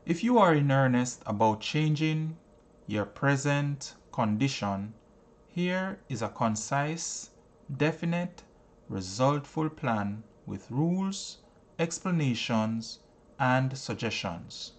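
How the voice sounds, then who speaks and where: A man narrating a self-help audiobook in a measured, earnest manner, with deliberate phrasing and frequent pauses. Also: medium pitch, expressive.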